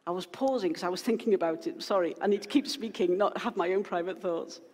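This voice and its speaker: A woman's voice: lowish voice, fast talker, a little mellow. A woman pastor giving an informal, rambling sermon to her congregation, full of asides and restarts. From Wales. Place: church hall. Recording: long hall reverb.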